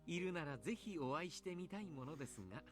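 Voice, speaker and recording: An actor playing a jovial, kindly adult coach in a visual novel, polite, chatty and playfully teasing. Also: male voice, fairly high pitch, expressive, racing, over music, close to an everyday voice. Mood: calm storytelling. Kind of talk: Japanese visual novel voice acting.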